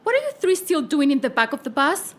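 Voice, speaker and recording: A woman playing a stern, brisk schoolteacher herding pupils in a children's audio drama. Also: high voice, very animated.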